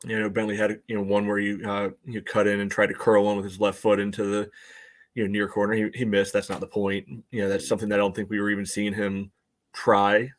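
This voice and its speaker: An American man co-hosting a casual soccer podcast, breaking down play in a halting way full of verbal tics. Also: fairly low pitch, monotone. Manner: halting, peppered with "you know". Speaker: podcast co-host and soccer supporter. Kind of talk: casual sports analysis chat.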